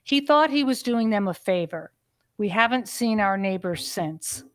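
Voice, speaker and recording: A woman's voice reading out a listener's letter in an even, measured way. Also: medium pitch, no echo, clean studio sound.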